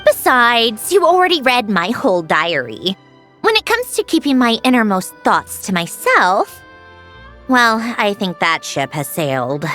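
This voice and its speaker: A woman playing a proud, prickly sentient machine girl in a video game, curt and impatient but secretly soft. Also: fairly high pitch, big pitch swings, measured pace, faint music behind, exaggerated voice. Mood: resigned, dry. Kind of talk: scripted anime-style game acting.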